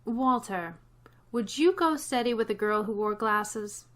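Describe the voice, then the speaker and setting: A woman's voice: a little high, a little slow, a bit bright. A woman playing a wry schoolteacher in a radio sitcom, with a dry, sarcastic manner. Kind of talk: old-time radio sitcom acting.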